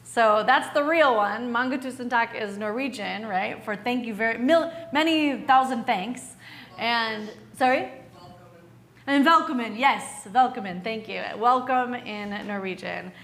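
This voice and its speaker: A woman's voice: on the high side, measured pace, fairly bright tone. A woman from Wisconsin, a missionary, introducing herself to a church congregation in a cheerful manner and laughing often. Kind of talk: church presentation.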